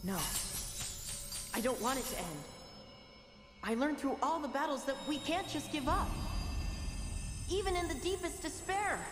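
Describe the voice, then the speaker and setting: A woman's voice: high voice, expressive, unhurried, long pauses. A woman playing an earnest, hopeful young heroine in a video game cutscene. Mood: pleading resolve. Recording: echoey reverberant hall, loud music.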